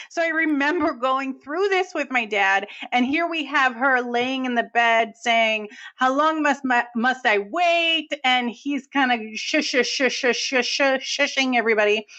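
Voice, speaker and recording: A woman with a New England accent and a somewhat high voice chats as a film podcast co-host. She retells scenes in a casual way, slipping into quoted dialogue. The recording is a little dull and lo-fi. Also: lively intonation, unhurried, few pauses, bright, forceful tone. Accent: New England American. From New England, United States. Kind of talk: casual film review chat.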